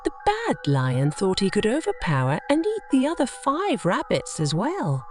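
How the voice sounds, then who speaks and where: A woman tells children's bedtime tales in a scripted storytelling style. Her pitch moves in a lively way, with faint music playing behind her. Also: normal speed.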